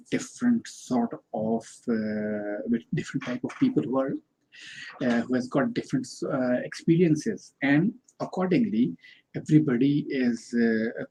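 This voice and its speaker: A man's voice: mid-range voice, warm tone, a little hiss or hum, poor audio. A man from Pakistan, a student, giving an informal spoken self-reflection with a hesitant, filler-heavy way of talking. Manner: hesitant, many fillers and restarts.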